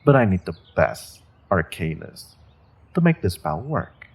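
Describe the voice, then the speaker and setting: A man playing a menacing, courteous evil sorcerer warlord in a fantasy audio roleplay, gloating in a mock-polite, deliberate way with a gravelly, husky voice. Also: deep voice, sing-song, full character voice. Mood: proud, calculating. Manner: slow, deliberate, mock-polite, savoring threats with drawn-out pauses. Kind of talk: fantasy audio roleplay, speaking directly to a captive listener.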